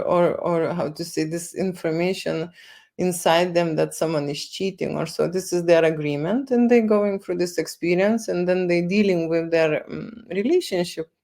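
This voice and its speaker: A woman's voice: lowish voice, vocal fry, darkish tone. A woman with a Lithuanian accent talking casually on a livestream, repeating words and tagging lines with okay and right. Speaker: photo studio owner livestreaming. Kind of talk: casual livestream talk to viewers.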